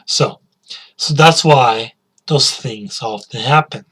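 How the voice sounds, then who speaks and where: A man's voice: medium pitch, slow, fairly bright tone. A male teacher giving a spoken English lesson, asking learners questions and repeating key words.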